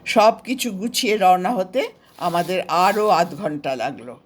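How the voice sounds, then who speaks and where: A woman with an Indian Bengali accent narrating a scripted story of memories in an expressive, unhurried storybook manner. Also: on the low side. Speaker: author narrating her own story.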